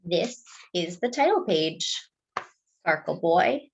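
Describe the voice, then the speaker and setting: A woman reading a picture book aloud to young children, expressive and deliberate, drawing out key words. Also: on the low side, slightly rough, dull audio. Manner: deliberate, with drawn-out emphasis for young listeners. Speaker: children's storyteller.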